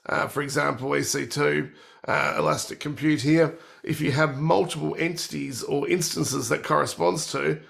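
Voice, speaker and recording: A man with a cold and a croaky, gravelly voice, presenting a technical workshop and walking through product features. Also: a little high, a bit bright.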